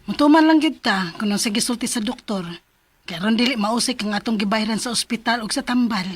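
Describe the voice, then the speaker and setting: High-voiced fussing Cebuano wife. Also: female voice, brisk, husky. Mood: firm, caring. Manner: nagging, expressive. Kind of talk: Philippine radio drama acting.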